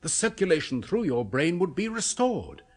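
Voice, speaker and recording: A man of science in a 1970s radio chiller, fervent and persuasive. His pitch leaps about with excitement and his voice has a husky edge. He explains macabre things with clinical precision and coaxing zeal. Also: normal speed. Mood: clinically eager. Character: eager, persuasive male scientist obsessed with a macabre experiment. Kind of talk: 1970s British radio horror play acting.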